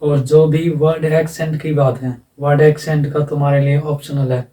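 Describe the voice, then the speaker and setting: A man's voice: on the high side, flat delivery, moderate pace, warm tone, distant mic, poor audio. A man teaching a lesson in a casual explanatory voice-over. He explains plainly, repeats himself and asks rhetorical questions. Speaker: English teacher. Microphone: voice-over, face not shown.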